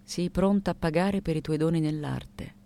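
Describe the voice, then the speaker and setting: An actress playing a lucid, ironic elderly woman artist in a radio theatre monologue, speaking in a deliberate, reflective way. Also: deep voice, fairly even intonation, vocal fry, mellow. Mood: solemn, reflective.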